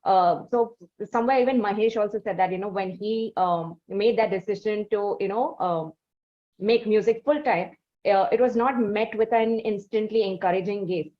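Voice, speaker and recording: A woman's voice: mid-range voice, normal speed, lo-fi sound. A woman from South India hosting an interview, asking long questions full of fillers and restarts. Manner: hesitant, many fillers. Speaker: interviewer host.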